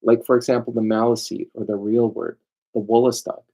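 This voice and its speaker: A man's voice: mid-range voice, little pitch movement, fairly quick, mellow. A man giving an informal lecture as a lawyer, emphatic and digressive, often throwing rhetorical questions at his listeners. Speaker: lawyer presenting a seminar.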